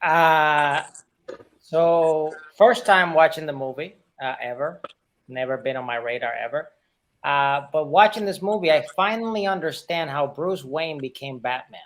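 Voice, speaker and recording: A man with a slightly high voice speaks as a panelist in a relaxed film discussion. His delivery is conversational, with a joking streak. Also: unhurried.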